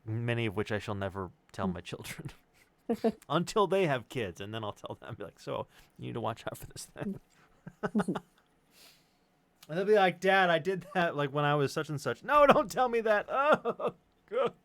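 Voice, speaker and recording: A man's voice: on the high side, sing-song, moderate pace, fairly bright tone, no room sound. A middle-aged man hosting a casual livestream, chatting in a rambling, hesitant and joking way. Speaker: stream host and audio drama sound designer. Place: online group stream.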